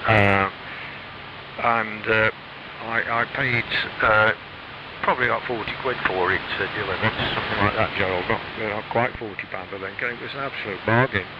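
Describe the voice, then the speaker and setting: A man's voice: on the low side, fairly even intonation. A British man chatting casually about technical matters over amateur radio, hesitant and rambling, with a frog in his throat. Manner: hesitant, rambling, frequent pauses. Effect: shortwave radio transmission. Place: home radio shack. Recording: muffled shortwave radio, loud static noise.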